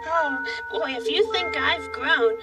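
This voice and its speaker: A gentle, shy little boy puppet, very high and unhurried. He talks in short, childlike phrases in a children's TV style. The sound is a bit distant, with music loud around it. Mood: shy, eager. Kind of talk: children's television puppet acting.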